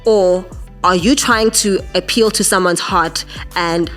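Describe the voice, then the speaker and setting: A young woman with a deep voice teaching podcasting in a masterclass, earnest and direct, often repeating words as she thinks. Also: measured pace, fairly bright tone, soft background music. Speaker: podcasting instructor.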